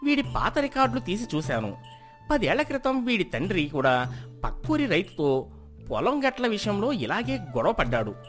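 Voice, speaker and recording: A man's voice: high-pitched, wildly swooping pitch, moderate pace, fairly bright tone, pitched above the performer's own voice, heavily acted. A man playing an insinuating village accountant, accusatory yet deferential, in a folk tale. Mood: accusing. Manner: accusatory, deferential to his lord. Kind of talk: dramatized Telugu folk moral tale. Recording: music behind the voice.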